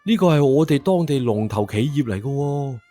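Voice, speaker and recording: Woman putting on a low-voiced sceptical young man. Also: lively intonation, normal speed, dark tone, soft background music. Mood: alarmed. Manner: blunt, exclamatory, disbelieving. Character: young man debt collector, sceptical and easily alarmed. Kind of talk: audio drama character acting.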